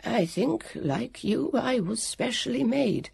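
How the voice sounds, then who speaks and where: Narrator doing a mournful skeletal guardian, high-pitched. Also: lively intonation, gravelly, darkish tone, breathy edge, no echo, full character voice. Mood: apologetic. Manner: slow, mournful, apologetic. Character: gaunt skeletal male guardian, sad and apologetic.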